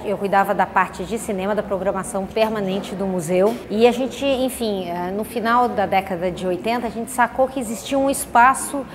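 A woman speaking in a documentary interview in a measured, reflective way about the festival she runs. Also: medium pitch. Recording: long reverb, clear background noise.

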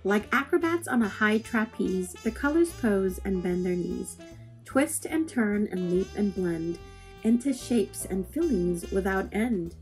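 A woman reading poetry aloud for children in an expressive style, with measured, deliberate phrasing. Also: mid-range voice, low-quality recording. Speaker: read-aloud host. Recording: music playing under the voice. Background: plucked guitar music.

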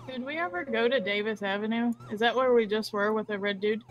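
Higher-pitched woman bantering over game noise. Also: a little fast, dull audio. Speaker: role-play gaming partner. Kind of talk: casual banter while gaming.

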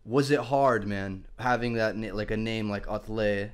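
A man's voice: fairly low pitch, fairly even intonation, normal speed, clear, resonant tone. A young man hosting a podcast over a video call on a headset, chatting casually and loosely, full of dude and bro. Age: young adult. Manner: laid-back, peppers talk with dude and bro. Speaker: podcast host. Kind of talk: casual interview chat. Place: small room at home.

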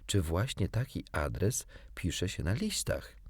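Man acting kindly young storybook girl, prim, curious, very lively intonation. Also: deep voice, slow, slightly rough, no room sound, clean studio sound, exaggerated voice. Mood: polite. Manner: polite, prim.

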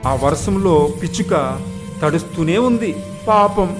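A man with a high voice tells a scripted moral fable. Music plays clearly beneath his narration. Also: animated, unhurried. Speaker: storyteller.

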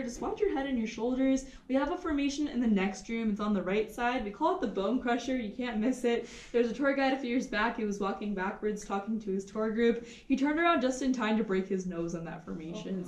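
A woman's voice: on the high side, darkish tone. A woman leading a group through a cave, chatty and informative as she explains each room. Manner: chatty and informative, light laughs. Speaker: cave tour guide. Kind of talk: guided tour talk to a group. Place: inside a large cave. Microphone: camera held by a visitor some distance away. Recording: echoey cave, voice from far off.

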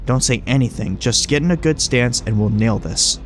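A man's voice: medium pitch, expressive, normal speed, a little hiss or hum, close to an everyday voice. A man playing a wry, teasing creature that passes as a human mentor in a horror audio drama. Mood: coaching. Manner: wry, breezy, teasing, coaching. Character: flippant, chummy man who is secretly a swarm of bugs.

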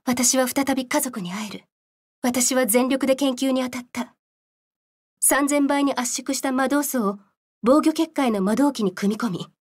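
A solemn scientist narrating a game cutscene, voiced by a woman. Her voice is fairly high and husky. She recounts a grim past in serious, measured storytelling. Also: darkish tone, natural voice. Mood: solemn, reflective.

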